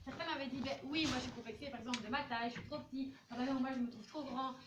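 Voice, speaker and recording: A woman's voice: high-pitched, steady tone, racing, lo-fi sound. A woman teaching a school class, talking to pupils in an informal, chatty way and acting out little examples. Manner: informal, chatty, role-plays examples of what teenagers say. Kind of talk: classroom lesson, addressing pupils. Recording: noisy echoey classroom, distant microphone.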